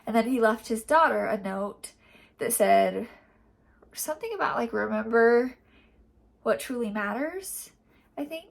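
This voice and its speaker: A woman's voice: on the high side, animated, a little slow, gravelly, long pauses. A young woman with a cold and a congested, nasal voice, chatting casually in a rambling reading update full of fillers. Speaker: book vlogger.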